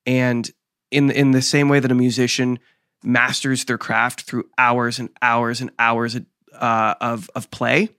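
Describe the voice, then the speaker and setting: A man who hosts the podcast and works as a recording engineer explains his craft in a measured, deliberate way. His voice sits at an ordinary male pitch. The sound is close and dry. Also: little pitch movement, a bit bright, clear, resonant tone, no room sound. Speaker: podcast host, mastering engineer. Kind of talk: casual podcast conversation.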